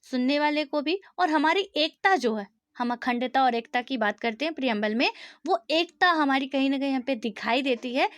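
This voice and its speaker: A woman teaching in with a high-pitched voice, the sound close and dry with no room echo. She explains through everyday examples and keeps asking rhetorical questions, checking in with her students. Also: animated, normal speed. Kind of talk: conversational exam-prep lecture.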